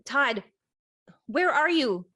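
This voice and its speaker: A woman's voice: sits high, big pitch swings, very slow, sharp tone, heavily acted. Cassie, earnest young witch, read by a woman. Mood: urgent. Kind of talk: script table read.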